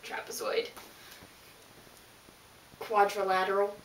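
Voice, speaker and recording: A woman playing a male rock singer in a mock interview, halting, deadpan and rambling. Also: medium pitch, close to an everyday voice. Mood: rambling, sheepish. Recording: roomy, distant mic, faint noise.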